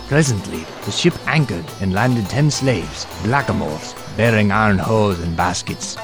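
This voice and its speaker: An actor playing a prince who recounts his adventures in formal, archaic, expressive storytelling for an audio drama. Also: on the low side, natural voice. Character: young prince recounting his perilous sea voyage. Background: music.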